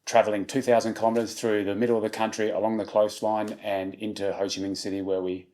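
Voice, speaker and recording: An Australian man in his thirties talks about a charity project in a relaxed, easygoing way. His voice sits a little low, and he fills gaps with ums and small restarts. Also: quick pace. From South Australia. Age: 30s. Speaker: charity walker. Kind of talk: casual interview answers.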